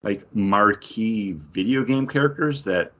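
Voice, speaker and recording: Male podcast host, raspy and conversational, slightly dull recording, casual geek talk. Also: fairly low pitch, takes it slowly, fairly bright tone. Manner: opinionated, conversational. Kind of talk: casual geek-news discussion.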